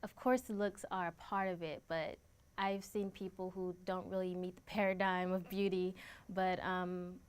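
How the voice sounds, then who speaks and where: A woman's voice: on the low side, level tone, a little slow, smooth. A woman in her twenties telling a personal story in a documentary interview, candid and casual. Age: 20s.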